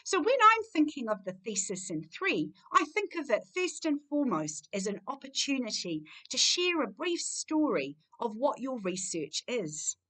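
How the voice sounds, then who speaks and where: A woman hosting a formal event, speaking in articulate, emphatic, measured phrases. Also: fairly high pitch, very animated, pushed and bright. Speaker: host, university lecturer.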